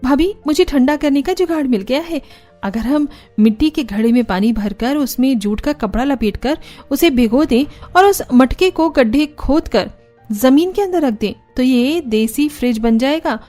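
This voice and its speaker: A woman acts out a clever young Indian housewife in a cartoon tale, respectful and reassuring. Her voice is fairly high, with light music behind. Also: expressive, normal speed, mellow. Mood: explaining. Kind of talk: Hindi cartoon story dialogue.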